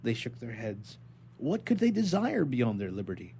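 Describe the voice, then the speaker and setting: A man with an average-pitched voice speaks as a guest reader on radio. He moves between informal talk and literary reading, over a faint background hum. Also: huge pitch swings, moderate pace, vocal fry, dark tone. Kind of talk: casual chat and literary reading aloud.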